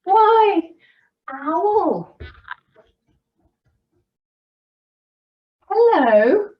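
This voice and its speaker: A woman's voice: very high-pitched, big pitch swings, drawn-out words, voice pushed up, exaggerated voice. A woman voicing a small, clever, cheerful mouse with a smug, sing-song delivery for a storybook. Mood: bright, smug. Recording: roomy, distant, dull sound.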